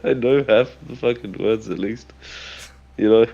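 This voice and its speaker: A young man whose first language is Dutch, talking on a voice call into someone's stream. He has a fairly low voice and an easygoing, slangy way of talking. He drops in casual swearing. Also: normal speed, gravelly, highs cut off. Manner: relaxed, loose, swears casually. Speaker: caller joining a stream.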